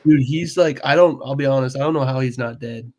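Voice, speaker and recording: A man's voice: medium pitch, fairly quick, darkish tone. A man co-hosting a casual podcast chat, easygoing and self-deprecating as he tells stories. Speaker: podcast co-host.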